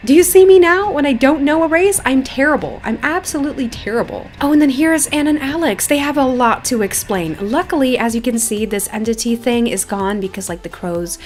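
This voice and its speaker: A woman's voice: sits high, brisk, hardly any pauses. A young woman giving enthusiastic, gushing commentary as she plays a video game. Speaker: gaming video creator, host. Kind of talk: gameplay commentary, reading story dialogue aloud. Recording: music behind the voice.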